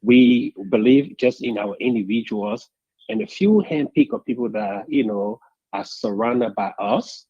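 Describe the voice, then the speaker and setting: A man calling in to a radio talk show, speaking in a deliberate, measured way that builds to emphatic points. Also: medium pitch, animated, warm tone, husky, dry recording, muffled recording. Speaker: caller. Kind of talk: call-in radio commentary.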